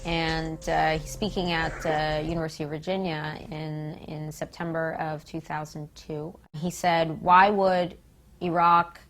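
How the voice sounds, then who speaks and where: American female interviewee, slightly deep voice, pointed and emphatic political speaker, faint music underneath. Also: level tone, unhurried. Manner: emphatic, uses rhetorical questions. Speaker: interviewee, peace activist speaker.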